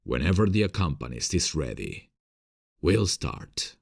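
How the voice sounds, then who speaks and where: A man playing a smitten, self-conscious theatre director who is kind to actors, in an ASMR roleplay audio drama, with a gravelly, husky voice. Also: rumbling low, sing-song, measured pace, heavily acted. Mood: patient, instructive. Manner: alternates murmured private musing and projected, polite instructions.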